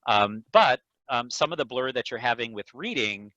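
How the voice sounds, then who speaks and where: Man, medium pitch, bright crisp tone, measured medical explanation, careful doctor, lo-fi video call. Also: animated, no echo. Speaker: neuro-ophthalmologist answering patient questions. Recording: dull, lo-fi video call audio.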